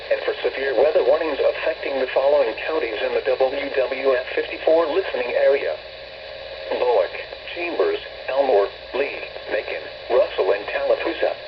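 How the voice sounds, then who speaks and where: Muffled, noisy weather-radio man, automated delivery. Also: medium pitch. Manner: flat, formal, synthetic announcer delivery. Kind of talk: scripted automated weather warning broadcast. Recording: noisy, band-limited weather radio broadcast.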